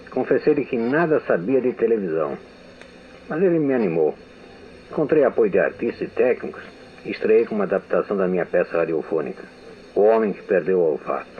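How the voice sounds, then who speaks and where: Older male radio veteran, measured reflective delivery; thin phone-like archival sound. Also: low-pitched. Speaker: radio writer giving a testimony. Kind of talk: memoir reading. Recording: tinny lo-fi archival audio with hiss.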